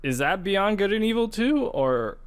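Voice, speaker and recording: A man's voice: sits high, big pitch swings, moderate pace, clean tone. A man hosting a podcast, casually discussing gaming news in a conversational way, often restarting his phrases. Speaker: podcast host.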